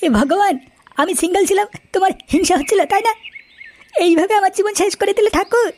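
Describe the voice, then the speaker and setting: A man's voice: very high voice, fast talker. A man voicing a grumpy, foul-mouthed, reluctant young village bridegroom in a comedy cartoon. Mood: self-pitying. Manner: grumbling, coarse, swearing. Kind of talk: Bengali comedy cartoon acting.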